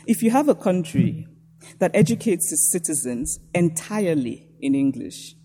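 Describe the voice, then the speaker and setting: A woman from Nigeria with a Nigerian accent, reading a prepared lecture in a measured, reflective way. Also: on the low side, very animated, mellow, long reverb tail, slight background noise.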